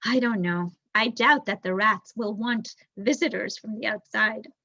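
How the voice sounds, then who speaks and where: Woman as kindly storybook mother mouse, careful, reassuring, measured, bright tone, dry lo-fi sound. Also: mid-range voice, no room sound. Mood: cautious.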